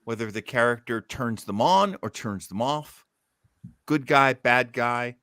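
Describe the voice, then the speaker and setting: A man hosting a podcast, chatting casually and telling stories in an animated manner. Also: mid-range voice, a little slow, no room sound, studio quality. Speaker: podcast host. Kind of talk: casual chat.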